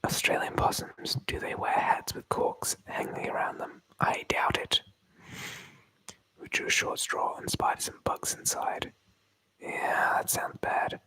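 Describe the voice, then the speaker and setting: A man's voice: measured pace, sharp tone, no echo, low-quality recording. A man whispering through an ASMR livestream, rambling and joking as he reads viewer comments aloud. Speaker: ASMR streamer.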